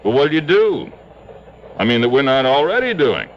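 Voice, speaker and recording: An actor playing a worldly police lieutenant in a 1950s radio drama, matter-of-fact and dry. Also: male voice, medium pitch, sing-song, moderate pace. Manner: dry, matter-of-fact, calls people darling. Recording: dull lo-fi old radio broadcast with faint hiss.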